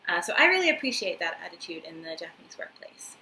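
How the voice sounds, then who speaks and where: Bright-voiced New Zealand woman. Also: on the low side, big pitch swings, fast, low-quality recording. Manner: chatty, friendly, soft-spoken with frequent ums and uhs. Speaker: vlogger, English teacher in Japan. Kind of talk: casual talk to camera. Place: small room indoors, wooden floor, paper screens.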